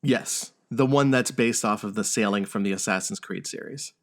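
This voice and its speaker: A man's voice: lowish voice, normal speed. A man hosting a gaming podcast, talking casually and running through news items. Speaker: podcast host.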